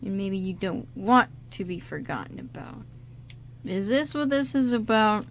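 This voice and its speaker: A woman's voice: mid-range voice, animated, measured pace, a little hiss or hum. A woman playing a bewildered, guilt-ridden man in an amateur radio drama, hesitant and questioning. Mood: bewildered, searching. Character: guilt-ridden, bewildered male friend, a grieving con man.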